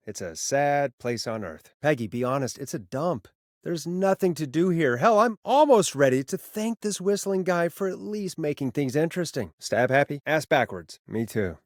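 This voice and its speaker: A world-weary late-night radio host in a video game. His mid-pitched male voice moves around a lot, close and dry in sound. He is sardonic and conversational, with a caring streak under the wry patter. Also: no echo. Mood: sardonic, grumbling.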